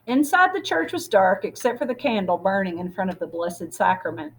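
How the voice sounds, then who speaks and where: Husky-voiced woman reading a story aloud. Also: medium pitch, fairly quick. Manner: expressive, acts out dialogue. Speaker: story reader. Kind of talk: read-aloud storytelling to children with asides.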